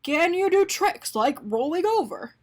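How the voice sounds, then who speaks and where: A woman's voice: very high-pitched, sing-song, a little slow, pitched above the performer's own voice, heavily acted. A woman voicing a fussy, polite and kindly man in a picture-book story, speaking in a matter-of-fact way. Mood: excited. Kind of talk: animated picture-book character reading.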